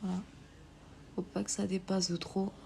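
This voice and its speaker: A woman with a cold giving a live makeup tutorial on a phone stream, hesitant with many filler pauses, sometimes dropping to a whisper while she works. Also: medium pitch, flat delivery, creaky, mellow, slight background noise. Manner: drops to a whisper while applying. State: has a cold. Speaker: makeup livestreamer.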